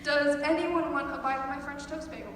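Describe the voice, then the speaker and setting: Young female student for a comic stage monologue, high voice, captured from far off in a reverberant, noisy theater. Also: fairly even intonation, a little fast. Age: teen or young adult student. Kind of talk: performed comic monologue to an audience. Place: school theater stage, live audience. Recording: echoey hall, far from mic, noisy.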